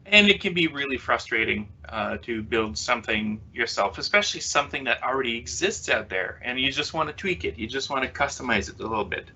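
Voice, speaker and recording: French Canadian male tech presenter, native French speaker, crisp bright voice, filler-heavy self-deprecating delivery, dull online-stream audio. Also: mid-range voice, animated, brisk, few pauses. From Quebec City, Canada. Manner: chatty, many "uh" fillers, self-mocking humor. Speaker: conference speaker, developer consultant. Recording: slightly dull lo-fi webcast audio.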